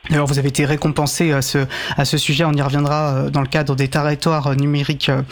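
A man hosting a radio interview, halting and repeating words as he builds long-winded questions. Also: on the high side, flat delivery, a little hiss or hum. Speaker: radio show host.

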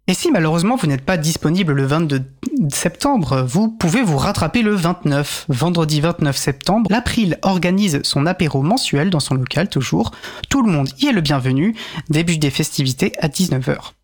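A man's voice: fairly high pitch, animated, normal speed. A man hosting a radio show and reading out announcements.

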